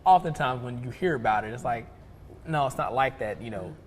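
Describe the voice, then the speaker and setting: A man's voice: mid-range voice, fairly quick. A man stage actor explaining things casually as an interview guest. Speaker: interview guest, stage play cast member. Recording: echoey hall with faint noise.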